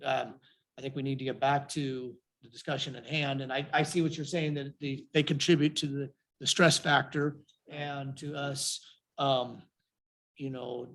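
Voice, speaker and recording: A man chairing a city council meeting, speaking haltingly with many restarts and fillers. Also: mid-range voice, little pitch movement, gravelly, fairly bright tone.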